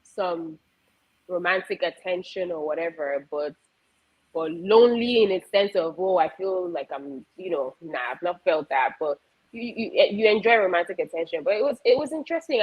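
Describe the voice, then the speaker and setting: A woman's voice: lowish voice, expressive, moderate pace, husky, dull audio. A woman from Nigeria talking candidly as a guest on a panel discussion. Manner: open, candid storytelling.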